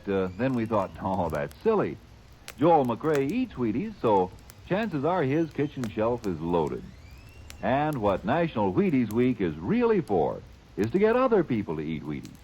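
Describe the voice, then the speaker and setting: A man's voice: mid-range voice, darkish tone, slightly rough. A man announcing a radio sponsor message in a chatty, persuasive way. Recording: old radio broadcast, faint hiss.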